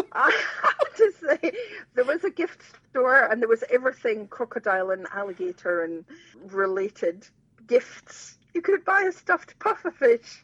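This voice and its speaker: A woman telling a story in casual chat with animated, self-mocking humour. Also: fairly high pitch, a little slow, slightly rough, no echo. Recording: dull lo-fi sound.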